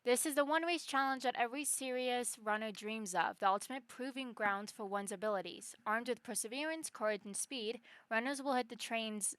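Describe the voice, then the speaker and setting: A woman's voice: on the high side, a bit bright, clean tone, no room sound. A woman game streamer giving casual live commentary, often talking to herself, with game music behind her.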